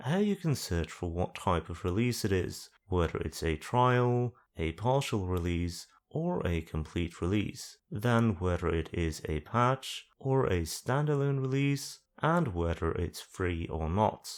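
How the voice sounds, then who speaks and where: A man calmly explaining a website's search features as a voice-over for a screen-recorded tutorial, listing the options one by one. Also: low-pitched, measured pace, hardly any pauses. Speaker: video guide host. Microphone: voice-over over screen capture.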